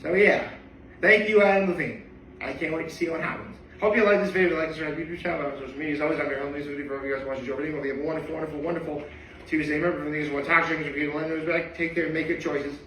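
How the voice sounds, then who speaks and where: A man's voice: a little high, fairly even intonation, very fast, a bit bright, a little hiss or hum. Young male tenor vlogger, rambly and chatty, mic a bit far off in a roomy space. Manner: chatty and rambling, with many asides. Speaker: daily vlogger. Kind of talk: casual reaction vlog monologue.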